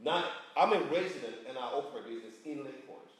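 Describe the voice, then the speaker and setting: A man from answers on a panel in a halting, animated way, his pitch swinging up and down as he hunts for words. The room is echoey around him. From Congo. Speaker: panelist, business operator. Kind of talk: panel discussion.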